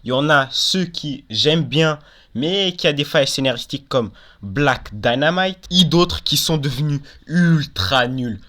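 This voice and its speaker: Bright-voiced young man giving casual opinions. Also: on the high side, lively intonation, measured pace. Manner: informal, opinionated, repeats and qualifies himself. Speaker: video host. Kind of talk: casual opinion countdown commentary.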